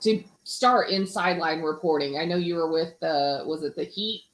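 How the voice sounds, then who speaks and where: A younger woman co-host asking curious questions in a lively, reactive way. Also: sits low, normal speed. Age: young adult. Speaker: podcast co-host. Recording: echoey room.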